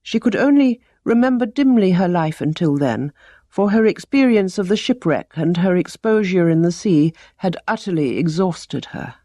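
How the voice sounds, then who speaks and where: A woman narrating a traditional teaching tale as a storyteller, with measured storybook delivery. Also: fairly low pitch, lively intonation, dark tone, no room sound, clean studio sound. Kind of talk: scripted narration of teaching tales.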